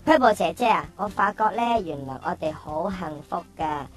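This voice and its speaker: A woman's voice: on the low side, lively intonation, moderate pace. A woman playing a talkative, well-meaning young schoolchild in a radio drama. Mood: admiring. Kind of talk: childlike radio drama acting.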